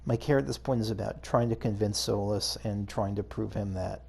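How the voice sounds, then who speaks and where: A man's voice: lowish voice, a little fast, creaky, a little mellow, slightly rough. A man giving casual video game playthrough commentary, hesitantly thinking aloud as he goes. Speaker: gaming video host.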